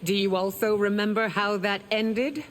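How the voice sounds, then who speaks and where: A woman's voice: mid-range voice, fairly even intonation, normal speed, noisy background, close to an everyday voice. A woman playing a proud, stern empress in a fantasy video game, speaking in a regal and formal manner. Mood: scornful. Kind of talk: video game dramatic acting. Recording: long hall reverb, music behind.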